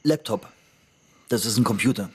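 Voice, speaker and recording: An actor playing a preoccupied, educated forensic investigator in a naturalistic radio drama. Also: male voice, fairly low pitch, normal speed, a little mellow, a little hiss or hum, natural voice. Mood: distracted.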